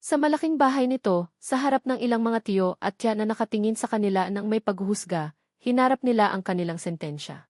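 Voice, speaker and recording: A woman narrating a love story in a measured, emotive way as the storyteller of an audio drama. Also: medium pitch, darkish tone, no echo, clean studio sound.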